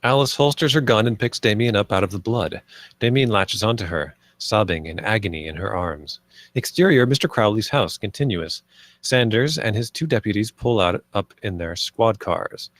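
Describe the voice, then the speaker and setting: A man's voice: on the low side, expressive, normal speed, no room sound. A man narrating scripted stage directions at a screenplay table read.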